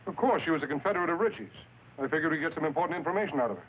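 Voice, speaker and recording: An actor playing a tough undercover customs agent, terse, dry and hard-boiled, in old noir radio style on a thin, hissy recording. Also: male voice, a little high, expressive, rapid-fire, phone-quality sound, close to an everyday voice. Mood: insistent. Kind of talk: mid-century film noir radio acting.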